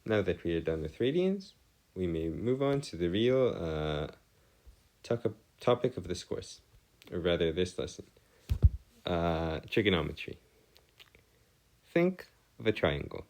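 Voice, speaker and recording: Male tutor, low voice, swooping intonation, formal wording, hesitant, step-by-step explaining. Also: big pitch swings, a little slow, pauses often, a little mellow, low-quality recording. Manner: formal, wordy phrasing, hesitant, self-correcting. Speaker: math tutor. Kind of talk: informal lecture, explaining step by step.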